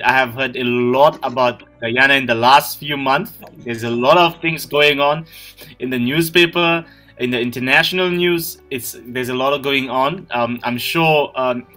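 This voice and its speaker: A man's voice: mid-range voice, pushed and bright. A man hosting an online business webinar over a video call, greeting attendees by name in a friendly way. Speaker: webinar host.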